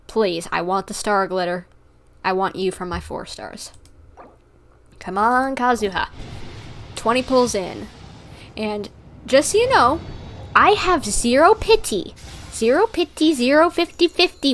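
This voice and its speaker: A woman livestreaming a game with casual, chatty commentary and an excitable manner. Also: mid-range voice.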